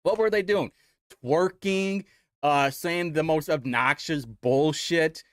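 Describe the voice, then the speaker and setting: A man's voice: a little high, slow pace, no echo, studio quality. A young man from Indiana, hosting a casual commentary show in a sarcastic, mocking way. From Indiana, United States. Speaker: host.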